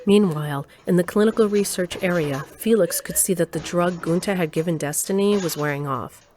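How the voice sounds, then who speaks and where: A woman's voice: fairly low pitch, normal speed, a little mellow, a little hiss or hum, natural voice. A woman playing the composed narrator of an audio drama, reading scripted story narration. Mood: matter-of-fact.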